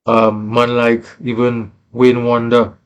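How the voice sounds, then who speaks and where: A man's voice: medium pitch, monotone, slow, clean tone. A man with a Saint Lucian accent, a musician talking casually in an interview in Caribbean creole-flavoured English, often tagging phrases with "you know".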